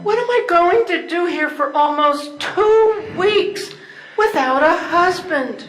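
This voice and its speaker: A woman's voice: very high voice, animated, takes it slowly, heavily acted. An older woman in amateur readers theater playing a shaken, forlorn wife with a plaintive, faltering manner. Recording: echoey room.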